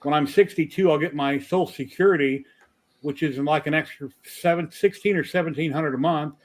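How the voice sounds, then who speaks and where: A man's voice: on the high side, fairly quick. A retired American man around sixty, chatting in an easygoing storytelling way on a group call. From United States. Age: about 60. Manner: chatty, gives directions in a relaxed storytelling way. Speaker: retired maker, chat regular. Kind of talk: casual group chat on a video call.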